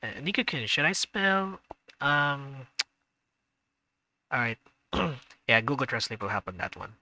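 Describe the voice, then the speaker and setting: A man's voice: medium pitch, a little slow, sharp tone. A man streaming a visual novel, reading the narration aloud and adding chatty, hesitant asides. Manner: chatty asides, hesitant with fillers when unsure. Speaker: gaming streamer.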